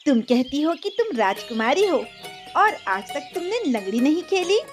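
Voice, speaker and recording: Woman voicing a high-pitched, teasing village girl. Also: very animated, normal speed, faint music behind. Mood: friendly teasing. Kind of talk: Hindi cartoon story dubbing.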